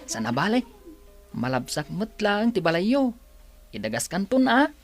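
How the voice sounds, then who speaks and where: A woman's voice: mid-range voice, sing-song, unhurried, soft background music. A woman voicing a friendly, loyal young male best friend in a radio drama, reassuring and companionable.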